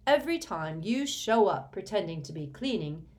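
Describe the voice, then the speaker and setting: A cold, impatient grown man, voiced by a woman reader, snapping out curt orders with open disdain. The voice stays close to an everyday reading tone, lively in pitch. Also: moderate pace. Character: cold, irritable grown man, master of the house.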